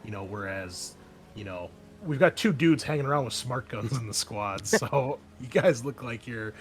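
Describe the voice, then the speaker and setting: A man running a tabletop roleplaying game, speaking informally in halting, hesitant phrases as he sets scenes and guides players. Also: mid-range voice, expressive. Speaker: game master.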